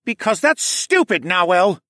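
A man's voice: sits high, big pitch swings, measured pace, sharp tone, no room sound, studio quality, voice pushed up, full character voice. A man voicing an indignant, commanding male snow leopard demi-human of royal rank in a fantasy audiobook. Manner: demanding, snapping.

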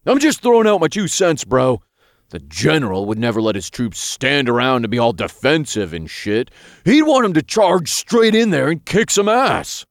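A man doing a gruff blustering imp general. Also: mid-range voice, sing-song, normal speed, dry recording, studio quality, voice pushed up. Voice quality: gruff, put-on general's bark. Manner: bossy, blustering, slangy. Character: brash male imp playing a gruff war general.

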